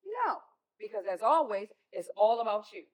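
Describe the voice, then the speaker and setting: A woman's voice: fairly high pitch, sing-song, moderate pace. A woman with an American accent playing a stern, exasperated mother of teenagers in a stage play, emphatic in her delivery. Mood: scolding, exasperated. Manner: scolding, emphatic.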